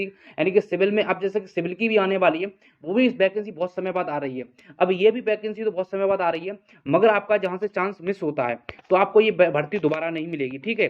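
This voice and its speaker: Man, high voice, coaching teacher, informal explaining, repetitive, muffled lo-fi sound. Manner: repetitive, explanatory, frequent check-in tags. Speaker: exam coaching teacher and channel host. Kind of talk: informal exam update addressed to students. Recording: dull, lo-fi voice recording.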